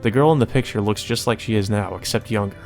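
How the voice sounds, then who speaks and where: Male let's player, low voice, narrates a visual novel with off-the-cuff asides over clearly audible music. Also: quick pace. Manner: slips into character dialogue, ad-libbed asides. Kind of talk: reading visual novel aloud with casual commentary. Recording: music behind the voice. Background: game music.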